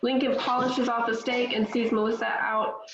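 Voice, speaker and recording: A woman reading stage directions in a steady, matter-of-fact way at a table read. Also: fairly high pitch, normal speed, music in the background. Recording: echoey, dull, faint noise.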